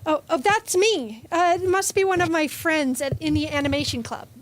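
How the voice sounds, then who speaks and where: An excitable nerdy girl, played by a woman in an amateur audio play. Her voice is extremely high and she gushes with bubbly eagerness. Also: moderate pace, natural voice. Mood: flustered, excited. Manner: eager, gushing.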